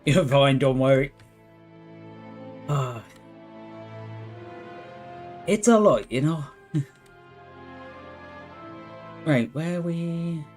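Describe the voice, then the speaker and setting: A man streaming a game live with a worn, hoarse voice, thinking out loud and chatting with viewers. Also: on the high side, drawn-out words, pauses often. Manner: thinks aloud, chats with viewers. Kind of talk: relaxed live gameplay commentary. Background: faint background music.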